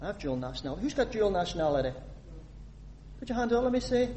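A man from Northern Ireland preaches in a fairly high voice, lively and animated as he asks the congregation questions and reads scripture aloud. His voice rings with the long reverb of a church hall. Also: fast talker, slight background noise. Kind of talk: sermon.

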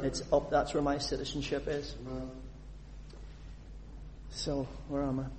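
Lively preacher from Northern Ireland. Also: male voice, mid-range voice, unhurried, slight background noise. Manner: animated, lively audience questions, reads scripture aloud. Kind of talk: sermon. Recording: long hall reverb.

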